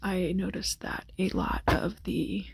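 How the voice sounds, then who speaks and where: A woman with a soft, whispery voice, chatting casually in a soothing, unhurried way as the host of an ASMR tarot reading. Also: mid-range voice, level tone, vocal fry, very noisy. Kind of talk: ASMR, rambling casual chat.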